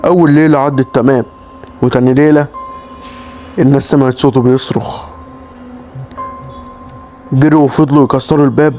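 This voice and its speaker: A man with an Egyptian Arabic accent voicing a weary older male flat broker who talks in a resigned, fatherly way. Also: medium pitch, a little fast, pauses often, soft background music, close to an everyday voice. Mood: grave.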